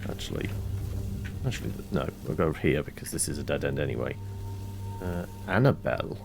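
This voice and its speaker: A man's voice: extremely low pitch, lively intonation, fairly quick, creaky, noisy background. A man giving casual, chatty let's play commentary, rambling as he thinks aloud, with music behind him. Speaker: game streamer, host.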